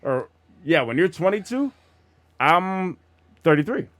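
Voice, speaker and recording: A man in his forties from Phoenix, hosting a podcast in a loose, energetic chat, often repeating himself. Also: a little high, a little slow, no room sound. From Phoenix, USA. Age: 40s. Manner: energetic, talks over others, repeats himself. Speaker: podcast host. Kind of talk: casual chat. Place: room with others, walking around.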